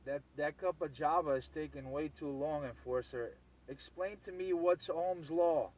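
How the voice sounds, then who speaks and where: A man's voice: fairly high pitch, measured pace, very noisy. An insistent man, ranting casually and repetitively as a CB radio operator.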